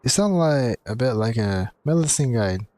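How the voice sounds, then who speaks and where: A man's voice: mid-range voice, animated, normal speed, background noise. A man doing casual live commentary as he streams a video game.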